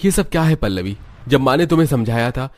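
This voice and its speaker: A man's voice: mid-range voice, expressive, quick pace, darkish tone, voice pushed up, lightly acted. A man voicing an exasperated, reproachful young husband in a cartoon family drama. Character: exasperated husband scolding his modern wife.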